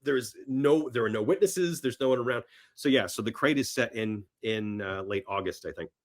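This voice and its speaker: A man's voice: medium pitch, normal speed. Man telling film stories with emphatic enthusiasm. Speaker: podcast guest. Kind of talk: enthusiastic casual film chat.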